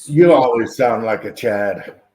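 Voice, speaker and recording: A man's voice: medium pitch, a little slow, dark tone. A man hosting an early-morning casual stream chat, joking and blunt. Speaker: streamer host.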